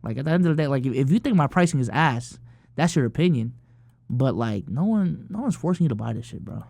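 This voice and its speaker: A man's voice: mid-range voice, lively intonation, fast talker, creaky, dark tone, no echo. A man in his early twenties from the Philippines, chatting casually on a livestream and answering viewer questions in a loose, profane way full of fillers. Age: early 20s. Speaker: streamer.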